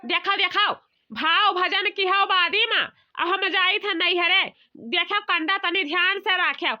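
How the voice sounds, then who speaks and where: A very high-pitched, fretful housewife played by a woman chatters and scolds in an Awadhi accent. She is a bossy cartoon elder sister who repeats herself, and the audio is dull and lo-fi. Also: measured pace. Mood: bossy, warning. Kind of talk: comedy cartoon dialogue.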